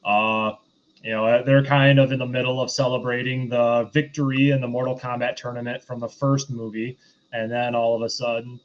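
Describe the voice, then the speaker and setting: A man hosting a casual film review discussion, speaking in a halting, hesitant way. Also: mid-range voice, little pitch movement, muffled recording. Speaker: podcast host.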